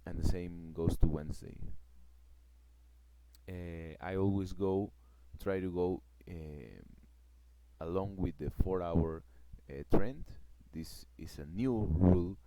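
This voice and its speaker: Slow, deep-voiced man with a Peruvian Spanish accent. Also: no room sound. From Peru. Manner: halting, searching for words, many fillers and restarts. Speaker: forex trader vlogger. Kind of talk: casual explanatory vlog. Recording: loud background noise.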